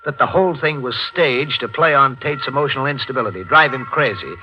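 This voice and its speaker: An actor playing a probing, no-nonsense district attorney in a 1940s radio drama, with a brisk, pointed manner. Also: male voice, medium pitch, bright, forceful tone, poor audio. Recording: band-limited 1940s radio recording with music.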